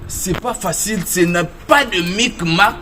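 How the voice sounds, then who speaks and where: A man's voice: high-pitched, a little slow, pushed and bright, slight background noise. A man giving an emphatic testimony, his voice jumping up on key figures. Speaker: interviewee. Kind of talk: promotional testimony. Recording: music under the voice.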